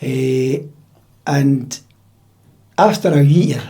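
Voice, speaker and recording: An older man with a Scottish accent recalling his life in a measured, matter-of-fact conversational way. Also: mid-range voice, vocal fry, mellow. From central Scotland. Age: older, about 80. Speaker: interviewee, piping-band drummer. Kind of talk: conversational life-story recollection. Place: indoors at home.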